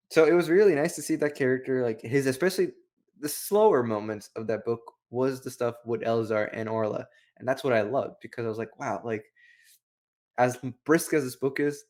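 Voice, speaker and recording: A man's voice: mid-range voice, a little mellow. A man co-hosting a review show, chatting casually in a rambling, slangy way peppered with "like". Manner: rambling, heavy use of "like". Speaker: book review show co-host. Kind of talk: casual, slangy chat, retelling and commenting.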